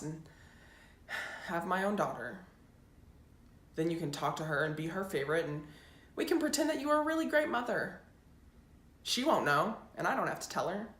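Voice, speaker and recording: A woman's voice: lowish voice, fairly bright tone. A woman performing a dramatic monologue as a resentful, determined eighteen-year-old daughter, intense and emphatic. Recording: roomy echo, faint background noise.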